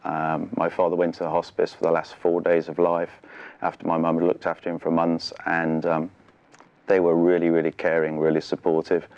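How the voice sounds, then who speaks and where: Middle-aged male interviewee, low voice, earnest and enthusiastic, slightly dull studio sound. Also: a little mellow, no echo. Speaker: interview guest, charity sailor. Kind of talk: conversational studio interview answers. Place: television studio.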